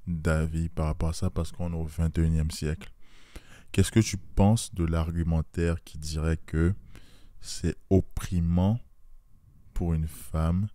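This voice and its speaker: Very deep, flat-voiced podcast host. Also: male voice, dry recording. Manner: earnest, asks probing questions with disclaimers. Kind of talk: podcast interview.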